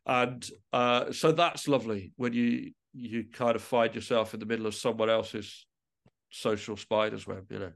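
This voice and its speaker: A man's voice: lowish voice, fairly even intonation, normal speed, gravelly. A man being interviewed on a podcast, talking thoughtfully and feeling his way through sentences with repeated restarts. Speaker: interview guest, musician and composer.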